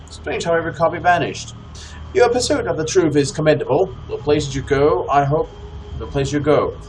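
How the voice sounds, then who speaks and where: A man hosting a co-op gaming session, commenting casually and reading in-game text aloud with deliberate phrasing. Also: fairly high pitch, rough recording. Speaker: host of a co-op let's play. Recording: clear background noise, game ambience.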